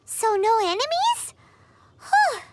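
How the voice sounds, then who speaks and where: A woman voicing a tiny, excitable, chatty fairy companion with a squeaky childlike voice in a video game. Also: slow pace, no room sound, full character voice. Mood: excited. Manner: exclamatory, refers to herself in third person.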